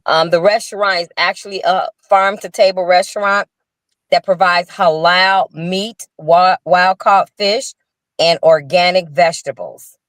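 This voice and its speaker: A woman's voice: on the low side, steady tone, unhurried, a bit bright, dry recording. Earnest older woman telling her story. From Chicago. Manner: earnest, storytelling, frequent restarts. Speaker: restaurant owner and guest. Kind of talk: casual interview talk.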